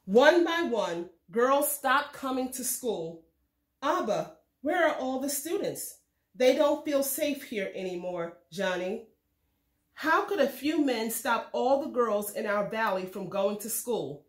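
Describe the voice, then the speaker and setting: A woman narrates a children's picture book in a fairly high voice. Her phrasing is measured and expressive, as in a read-aloud for young listeners. The recording has a clearly echoey, roomy sound. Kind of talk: scripted storybook narration for children.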